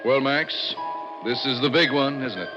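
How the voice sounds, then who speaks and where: An actor playing a wisecracking, excitable lab operator in a 1960s radio drama, with informal, exclamatory delivery. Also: male voice, medium pitch, a little slow, natural voice. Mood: cocky, eager. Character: cocky, wisecracking male lab operator, easily alarmed. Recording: dull old radio recording, loud music behind.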